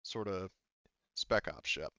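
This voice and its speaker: A man with a smooth, fairly low voice runs a tabletop game. He explains the lore casually, stopping and restarting often. The sound is dry and a little muffled. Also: a bit bright, no room sound. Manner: halting, many restarts and "you know". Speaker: game master. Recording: slightly dull, dry close mic.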